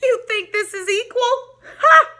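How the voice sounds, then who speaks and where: A woman's voice: extremely high pitch, expressive, measured pace, bright, forceful tone, voice pushed up, full character voice. A woman voicing a sly, bullying male bobcat in a storybook, snarling and scoffing.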